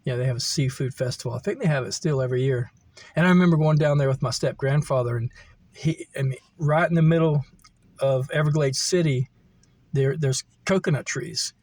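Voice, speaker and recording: A middle-aged American man reminiscing casually, in a halting, meandering way as he thinks aloud. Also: medium pitch, darkish tone. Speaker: vlogger telling personal stories. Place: camper van.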